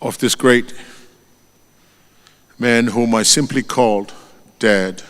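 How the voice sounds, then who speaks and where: Tongan-accented male eulogist, solemn and deliberate, reverberant church hall. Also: medium pitch, a bit bright. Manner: solemn and measured, lifting his voice on each name. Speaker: eulogist at a funeral. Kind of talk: formal funeral eulogy.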